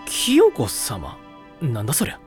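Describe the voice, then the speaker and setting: Teenage boy, blunt, incredulous, casual, medium pitch, anime audio drama, music behind. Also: wildly swooping pitch, measured pace. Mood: baffled, exasperated.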